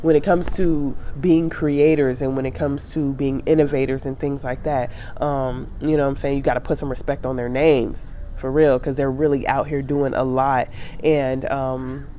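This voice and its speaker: A woman's voice: sits low, dark tone, slight background noise. A woman in her thirties with a congested, nasal voice, getting over a sinus cold, chatting to camera in a reflective vlog with many fillers and restarts. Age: 30s. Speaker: travel vlogger. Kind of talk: casual chat to camera.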